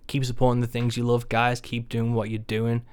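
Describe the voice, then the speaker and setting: A man from England with a Merseyside accent speaks as a podcast host. His pitch sits in the middle for a man. He chats informally and matily, with casual swearing. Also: monotone, moderate pace, smooth. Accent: Merseyside English. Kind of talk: casual interview chat.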